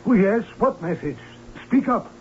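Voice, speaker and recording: Pompous jumpy baron, theatrical old radio acting, highish voice, muffled w a bit of noise. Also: male voice, animated, a little slow. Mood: impatient. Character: imperious, nervy baron, father of a grown daughter. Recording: dull vintage radio recording, faint noise.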